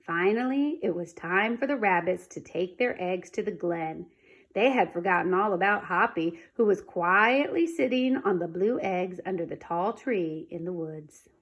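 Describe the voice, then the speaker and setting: A woman reading a children's storybook aloud in an expressive, dramatic manner. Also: on the low side, normal speed, highs cut off. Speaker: storybook reader.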